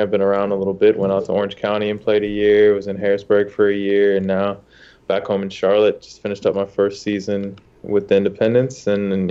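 A man's voice: lowish voice, clear, resonant tone, lo-fi sound. A young man from the United States, a soccer goalkeeper, telling his story in a casual interview with many fillers and restarts.

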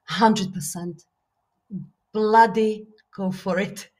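A woman's voice: medium pitch, fairly even intonation, drawn-out words, darkish tone. A woman from Slovakia, a native Slovak speaker, talking as an author and business coach in an interview, thoughtful with frequent fillers and easy laughter. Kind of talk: interview guest.

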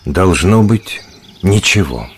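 An actor playing a wistful young miller's son in a radio play, hushed and questioning. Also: male voice, sits low, lively intonation, takes it slowly, husky, heavily acted. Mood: alert, puzzled.

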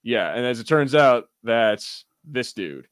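A man's voice: medium pitch, very animated, slow pace. Casual man rambling on a podcast. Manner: informal, rambling, sweary. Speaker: podcast co-host. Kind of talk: casual chat, episode review banter.

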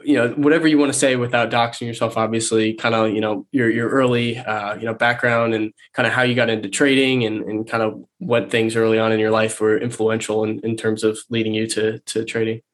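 A man's voice: fairly low pitch, level tone, a little fast, few pauses. Stuffy-nosed male podcast host. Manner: loose and rambling, with many fillers. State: stuffy and nasal from a cold. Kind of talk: casual podcast interview.

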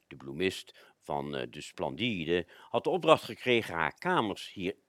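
A man's voice: sits low, big pitch swings, a little slow, a bit bright, dry recording, clean studio sound, full character voice. A man playing an eager, obliging Cuban manservant in a radio play, with theatrical delivery. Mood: confiding.

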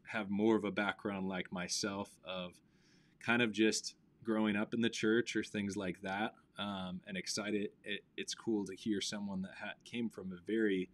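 A man with a low voice hosts a relaxed live-stream interview. He talks casually and hesitantly, with plenty of ums and restarts. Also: normal speed. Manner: relaxed, hesitant with many fillers. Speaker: host of a weekly live show.

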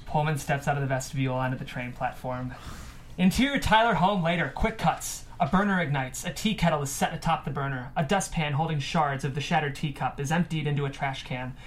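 A woman's voice: low voice, fairly quick, slight background noise. A woman giving scripted narration, reading out the stage directions of a screenplay.

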